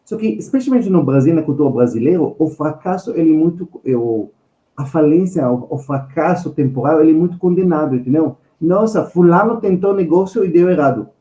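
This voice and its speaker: Male podcast guest with an Israeli accent: mellow tone, emphatic conversational delivery, recorded in a roomy space. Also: a little high, animated, a little fast. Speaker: guest finance expert. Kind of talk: conversational podcast storytelling and advice.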